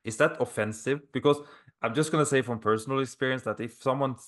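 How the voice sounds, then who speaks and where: A man's voice: mid-range voice, quick pace, a bit bright. A man with a Norwegian accent, speaking English as a podcast host in a casual interview style. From Norway.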